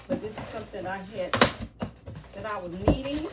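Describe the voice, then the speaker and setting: A woman's voice: mid-range voice, normal speed, recorded from a distance. A woman with a stuffy nose chatting casually in an unboxing vlog, rambling with many fillers. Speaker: vlogger. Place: outdoors near a garden. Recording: noisy, from off mic.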